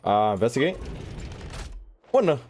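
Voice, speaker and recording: Animated, slangy male streamer. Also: medium pitch, moderate pace, noisy background. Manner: slangy, exclamatory, reacts aloud. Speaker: gaming streamer. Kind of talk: casual gameplay commentary.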